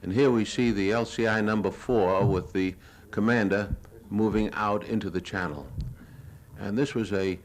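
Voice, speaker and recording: An older American man giving a lecture, narrating his own war film in a measured, plain-spoken, reminiscing way. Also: lowish voice, steady tone. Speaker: newsreel reporter giving a lecture. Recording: 1970s archival lecture audio recording.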